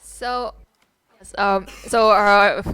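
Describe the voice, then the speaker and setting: A woman moderates an open discussion at a workshop. Her voice is clear and of medium pitch, and she uses short, non-native English phrases. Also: fairly even intonation, very slow, a bit bright, no room sound. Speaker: session moderator.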